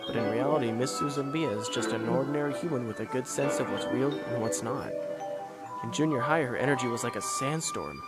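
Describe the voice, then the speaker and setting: A man voicing a calm, polite teenage esper boy in an amateur anime fan dub, explaining things in a composed, courteous way. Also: mid-range voice, a little fast, lightly acted. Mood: calm, explanatory. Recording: loud music under the voice.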